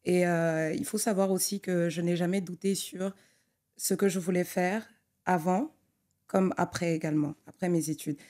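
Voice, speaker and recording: A young adult woman who grew up in Togo, an architect talking through her career as a podcast guest, thoughtful and full of pauses and restarts. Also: fairly low pitch, fairly even intonation, warm tone. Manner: thoughtful, many fillers and restarts. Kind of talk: conversational interview.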